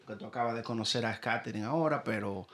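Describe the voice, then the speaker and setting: A man's voice: on the low side, fast talker, a bit bright. A man hosting a podcast interview, chatty and prone to repeating himself. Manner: chatty, repeats words often. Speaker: podcast host. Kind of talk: casual interview chat.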